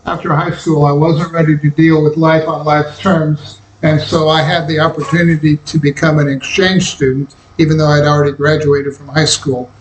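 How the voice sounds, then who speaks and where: An older man from Kansas tells his life story in a calm, reflective manner, taking his time over each memory. His voice sits a little high for a man. The sound carries a noticeable room echo. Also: normal speed, few pauses, a little hiss or hum. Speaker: interviewee recalling his life. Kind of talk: personal memoir narration. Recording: roomy echo.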